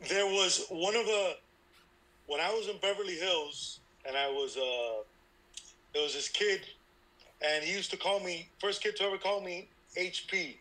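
A man's voice: sits high, unhurried, poor audio. A man in his thirties, born in Cuba and raised in Miami, telling his life story frankly and with energy as an interview guest. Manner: energetic, frank and self-deprecating. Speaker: baseball instructor guest.

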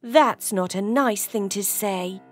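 A woman voicing a kindly, worried mother duck in an animated fairy tale. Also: mid-range voice, slow pace, soft background music, close to an everyday voice. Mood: gentle, worried.

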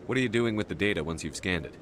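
A man's voice: deep voice, animated, racing. A man playing a direct, assured military commander in a video game. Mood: composed, probing. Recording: loud ambient background noise.

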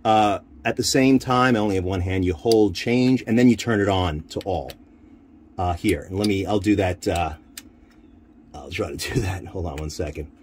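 A man giving an informal hands-on equipment demonstration, haltingly thinking aloud as he handles the gear. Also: low-pitched, a little mellow. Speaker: radio hobbyist demonstrating equipment. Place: inside a military-style off-road vehicle. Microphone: handheld camera, one hand free. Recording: noisy handheld camera audio.

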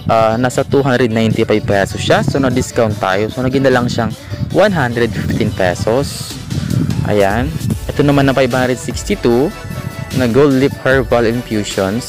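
Filipino man, app tutorial voice-over, mid-pitched, relaxed filler-heavy talk, music playing underneath. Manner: relaxed, repeats fillers like "ayan" and "okay". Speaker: tutorial host. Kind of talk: screen-recorded app tutorial. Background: background music.

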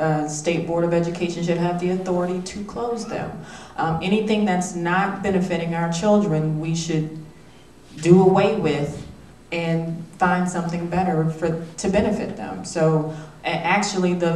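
A woman's voice: fairly low pitch, darkish tone. A woman, a state legislator, giving earnest answers on a panel through a handheld mic. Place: theater stage. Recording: roomy, distant, faint noise.

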